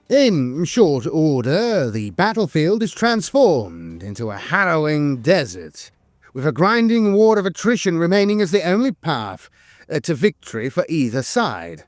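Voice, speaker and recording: Higher-pitched man narrating lore, animated intonation. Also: dry recording, clean studio sound.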